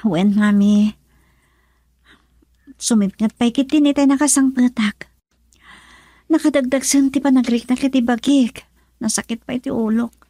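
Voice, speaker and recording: A worn-out young student in a radio drama, Filipino accent. Her voice is on the high side and plaintive, recorded close and dry. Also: fairly even intonation, measured pace, long pauses, warm tone, dry recording. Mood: weary, plaintive. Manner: plaintive, tired. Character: weary female student talking with her mother.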